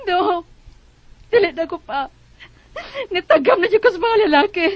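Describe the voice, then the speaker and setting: An actress playing a determined young single mother in a Bisaya radio drama, earnest and expressive, with a Cebuano accent. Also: very high-pitched, unhurried, dry recording, dull audio. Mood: tearful, resolute. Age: young adult.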